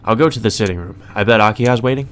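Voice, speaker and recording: A man voicing a gloomy, anxious male high school student with a hesitant manner, in a visual novel read aloud. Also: rapid-fire, fairly bright tone, slight background noise, natural voice. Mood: weary. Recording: music under the voice.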